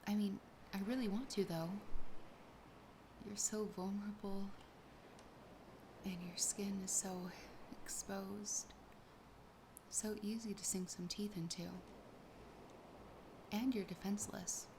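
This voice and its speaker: A woman voicing a sassy, possessive, lonely siren in a soft, breathy, often whispered ASMR roleplay, slow, teasing and intimate. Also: mid-range voice, steady tone, background noise. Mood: hungry, possessive. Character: possessive, sassy, lonely female siren toying with her catch.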